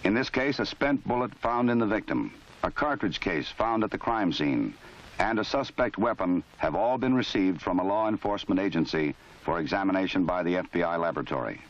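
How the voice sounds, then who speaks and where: An American man reading formal scripted narration for a training film in a measured, authoritative, matter-of-fact way. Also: low voice, lively intonation. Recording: dull lo-fi 1970s film soundtrack.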